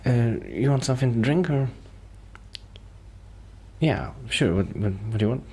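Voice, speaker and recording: A man playing a shy, friendly young musician in a soft ASMR roleplay, rambling casually and hesitantly in a breathy, often whispered voice. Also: medium pitch, animated, unhurried.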